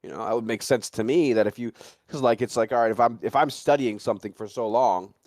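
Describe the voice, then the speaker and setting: A man's voice: mid-range voice, quick pace, darkish tone, no echo, clean studio sound. A man chatting casually and speculating in a rambling, repetitive way, with some swearing. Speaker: livestream talk participant. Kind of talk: casual chat, animated storytelling and speculation.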